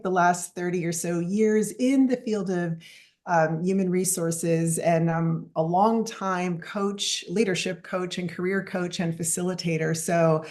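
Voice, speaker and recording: A woman who works as a coach, introducing herself in a measured, professional way on a video call. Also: fairly low pitch, darkish tone. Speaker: coach and instructor. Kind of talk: self-introduction.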